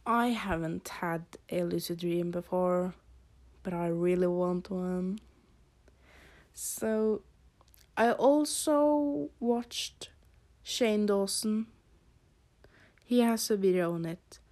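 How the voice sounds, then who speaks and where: A young woman from Norway with a Norwegian accent, hosting a casual podcast, rambling in a halting way with fillers and soft laughs. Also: lowish voice. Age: teens or young adult. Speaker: podcast host.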